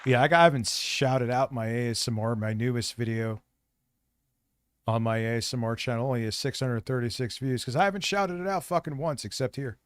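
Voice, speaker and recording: A man hosting a casual live-stream chat, with a raspy voice and a blunt, swearing way of talking. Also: medium pitch, a little fast. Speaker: host.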